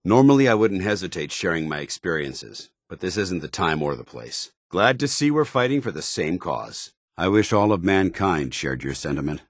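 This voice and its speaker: An actor playing a stern, loyal, zealous soldier in a video game, speaking gruffly with earnest, clipped military formality. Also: male voice, low-pitched, big pitch swings, normal speed, few pauses, no echo, studio quality. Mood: approving, formal. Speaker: companion soldier character.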